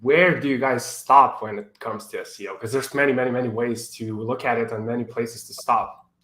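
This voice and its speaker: A man's voice: mid-range voice, fairly even intonation, brisk, fairly bright tone. A man hosting a weekly livestream, friendly and modest, chatting casually over a video call. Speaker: livestream host. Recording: echoey room.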